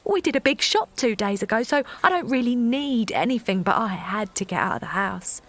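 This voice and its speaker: An actress playing Linda, an exhausted young mother, who narrates and talks in a halting, apologetic way. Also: on the high side, expressive, no echo. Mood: weary. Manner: halting, apologetic, often softly spoken. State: tired, overwhelmed. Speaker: narrator and lead character. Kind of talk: audio drama acting.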